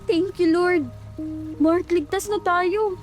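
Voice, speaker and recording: A woman's voice: extremely high pitch, steady tone, slow, faint music behind. A woman voicing an exhausted young woman fleeing monsters, breathless and pleading. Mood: relieved.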